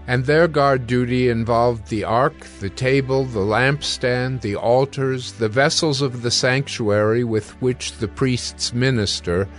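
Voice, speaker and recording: A man narrating a dramatized audio Bible in a measured, formal manner. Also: medium pitch. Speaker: scripture narrator. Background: background music.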